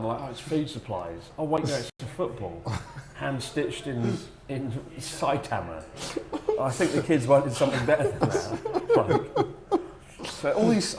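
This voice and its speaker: A man telling a casual comic anecdote to friends in an animated, sardonic way, acting out quotes. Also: mid-range voice. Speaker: storyteller, former English teacher. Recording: roomy echo with clear background noise.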